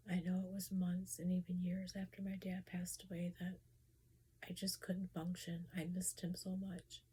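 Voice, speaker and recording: Breathy whispering woman, soft and low. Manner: whispers softly, hesitant with restarts. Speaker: vlogger talking about spirits. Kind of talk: casual personal talk.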